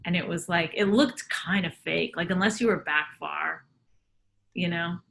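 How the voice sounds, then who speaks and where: A woman's voice: lowish voice, measured pace, gravelly, fairly bright tone, slight background noise. A woman comedian in a casual interview, telling loose, rambling stories full of fillers. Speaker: comedian guest.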